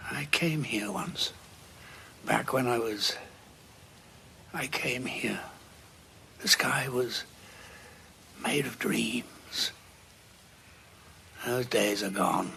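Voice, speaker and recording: An actor playing a grim, war-weary Time Lord with a gravelly voice, deliberate and dryly sardonic, in an audio drama. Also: male voice, mid-range voice, pushed and bright, a little hiss or hum, full character voice. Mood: grim, haunted. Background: background music.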